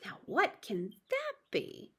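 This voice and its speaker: A woman's voice: on the high side, wildly swooping pitch, slow pace, bright, forceful tone, slightly rough, heavily acted. A woman voicing a poor, fretful old male tailor in a storybook, lamenting in dramatic old-fashioned words. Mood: puzzled.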